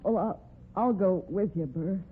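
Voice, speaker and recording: A woman's voice: mid-range voice, measured pace, slightly rough. A woman playing a plucky young cowgirl with an American accent and folksy, emotive talk, in old-time radio drama style. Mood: contrite, tender. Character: plucky, devoted young cowgirl with rough country grammar. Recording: noisy, tinny old radio recording.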